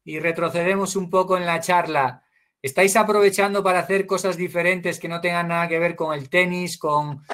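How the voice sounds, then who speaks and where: A man with a high voice moderates a panel over a video call. He is lively and joking, and fires off one question after another.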